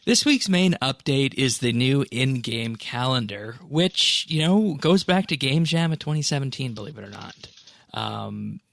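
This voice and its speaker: Creaky-voiced man hosting a casual podcast. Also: mid-range voice, lively intonation, measured pace, a bit bright, no room sound. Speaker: podcast host.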